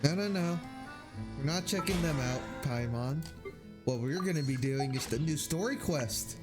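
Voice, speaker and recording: A young man giving casual livestream game commentary in a halting, rambling way. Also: on the high side, animated. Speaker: game streamer. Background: game music.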